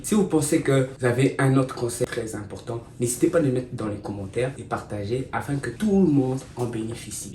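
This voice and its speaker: A man's voice: mid-range voice, a little mellow. A man from Congo, giving a motivational talk to viewers as a content creator, stressing points emphatically. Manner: emphatic, addresses viewers directly as "guys", repeats for stress. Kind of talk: motivational self-help talk. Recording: roomy and distant with faint noise.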